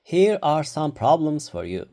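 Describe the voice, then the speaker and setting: A reader voicing a cheerful, literal-minded housekeeper woman playing teacher in a children's storybook, with an emphatic, deliberate delivery. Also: mid-range voice, sing-song, dark tone, pitched above the performer's own voice. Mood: bright, instructing. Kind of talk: children's storybook read-aloud.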